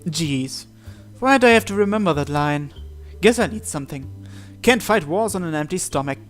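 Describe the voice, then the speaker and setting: Male game host, fairly high voice, dramatic read-aloud of visual novel text with casual asides, music underneath. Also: lively intonation, moderate pace, fairly bright tone. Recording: music behind the voice.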